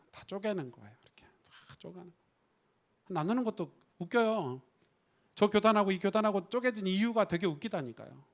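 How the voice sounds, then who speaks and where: A man preaching a Sunday sermon as a pastor in a church, talking conversationally and asking the congregation rhetorical questions. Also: sits high. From Korea. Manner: conversational, rhetorical questions, acts out quoted voices.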